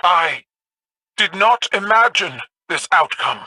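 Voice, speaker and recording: A woman's voice: lowish voice, lively intonation, slow, pushed and bright, husky, no room sound, exaggerated voice. A woman playing a proud, warlike alien empress in a video game, formal and imperious, with a radio filter. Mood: solemn. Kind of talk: video game character acting.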